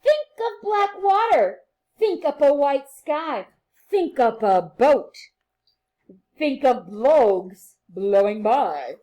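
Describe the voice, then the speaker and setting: A woman with a high voice reads a picture book to young children as their teacher. Her delivery is playful and sing-song, swinging widely in pitch. She moves between expressive reading and softer, chatty asides to her listeners. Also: slow pace, dull audio. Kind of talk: animated children's read-aloud with chatty asides.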